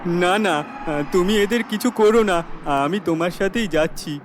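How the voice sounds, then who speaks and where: A man's voice: high-pitched, a little slow, faint music behind. A man voicing a frightened young village man in a horror cartoon, panicky, pleading and urgent.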